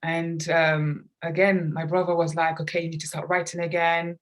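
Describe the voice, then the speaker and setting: A woman's voice: on the low side, little pitch movement, moderate pace. A woman who grew up in London, speaking earnestly and reflectively as a guest in a conversational podcast interview. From grew up in London, England. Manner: earnest, reflective, talks at length about personal experience. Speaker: guest, children's author and artist.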